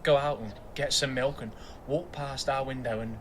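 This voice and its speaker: A man's voice: mid-range voice, fairly even intonation, moderate pace, natural voice. Weary young dad, noisy surroundings man acting. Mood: quietly bitter. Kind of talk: naturalistic audio drama acting.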